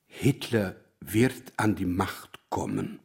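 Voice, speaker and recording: An actor in a German radio drama playing a worldly, self-assured, melancholic mathematician with a wry, boastful manner. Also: male voice, deep voice, huge pitch swings, vocal fry, darkish tone, breathy edge, exaggerated voice. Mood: wry, confiding. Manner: wry, boastful, talks in measured pronouncements.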